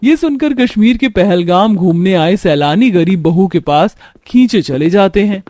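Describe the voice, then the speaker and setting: High-voiced man narrating a moral story. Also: expressive, normal speed, a little mellow, no room sound, studio quality.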